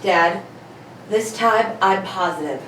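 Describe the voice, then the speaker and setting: A woman's voice: mid-range voice, slow, a bit bright. A woman playing a confident, clever young male detective in an amateur comedic radio play reading. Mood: assured. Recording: echoey room, distant mic, faint noise.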